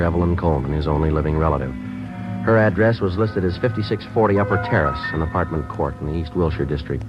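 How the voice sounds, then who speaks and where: An actor in 1950s radio drama playing a police sergeant who narrates and questions in a clipped, matter-of-fact way. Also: male voice, low voice, lightly acted. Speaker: detective narrator. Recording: muffled old radio broadcast with music.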